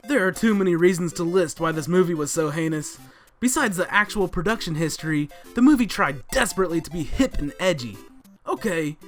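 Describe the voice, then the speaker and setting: A man's voice: sits high, fairly bright tone. An American man in his thirties co-hosting a scripted countdown, ranting in an exasperated, theatrical way. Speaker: co-host of a countdown show. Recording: music under the voice.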